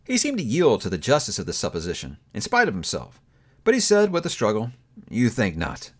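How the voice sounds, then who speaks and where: A man narrating a classic story aloud in an expressive audiobook reading style. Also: mid-range voice, fast talker. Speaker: book reviewer reading aloud.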